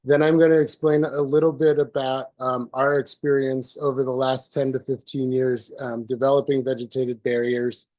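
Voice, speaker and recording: A man with a hoarse voice from a cold, giving a presentation on a video call with many fillers and restarts. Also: medium pitch, level tone, normal speed, hardly any pauses, darkish tone. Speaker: presenter at community meeting.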